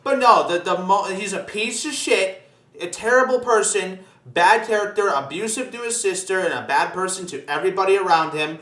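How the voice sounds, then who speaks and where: Man, high-pitched, echoey room, emphatic casual rant, opinionated, sweary podcast chat. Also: normal speed, fairly bright tone. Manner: emphatic, ranting, frequent swearing. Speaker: podcast host.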